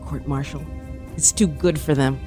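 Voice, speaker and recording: An actress playing a rule-bound, coldly dutiful starship commander in an audio drama, clipped, curt and formal. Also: female voice, low-pitched, steady tone, normal speed, music in the background, lightly acted. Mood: stern, dismissive. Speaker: starship first officer. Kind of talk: science fiction audio drama acting.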